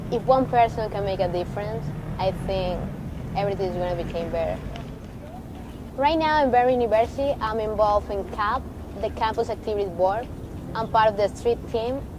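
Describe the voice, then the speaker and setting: Young woman from Venezuela; earnest, encouraging student testimonial, traffic noise around her. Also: mid-range voice. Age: young adult. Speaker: university student. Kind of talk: personal testimonial. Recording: outdoor street noise.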